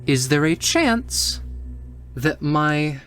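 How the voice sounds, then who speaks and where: A man's voice: a little high, very animated, drawn-out words, a bit bright, faint music behind, heavily acted. A man voicing a female doctor who is clinical and businesslike with an older-sister manner, in a tabletop game. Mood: excited, probing.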